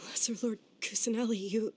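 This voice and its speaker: A woman's voice: a little high, steady tone, moderate pace, slight background noise, close to an everyday voice. A woman voicing an earnest, humble young woman in a game cutscene, speaking hesitantly and with deference. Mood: moved, humbled.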